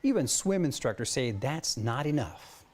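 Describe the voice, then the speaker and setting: A man's voice: mid-range voice, big pitch swings, moderate pace, darkish tone. A man with an American accent anchoring the news, moving between broadcast delivery and light studio banter.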